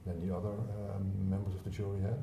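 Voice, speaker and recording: A male interviewer asking measured, slightly hesitant questions. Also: deep voice, flat delivery, echoey room, noisy background.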